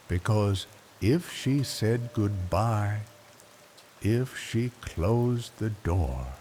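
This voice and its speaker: Older guy reading a wistful bedtime story, low mellow voice, super slow w long pauses, soft rain behind. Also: slight background noise. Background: soft rain ambience.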